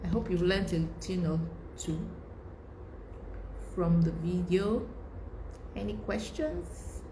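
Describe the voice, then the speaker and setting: A woman's voice: on the low side, takes it slowly, dark tone, reverberant, slight background noise. A woman giving an informal online lesson, talking conversationally, often repeating herself and checking in with "right?". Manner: conversational, repeats words and restarts, asks "right?". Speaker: online course instructor.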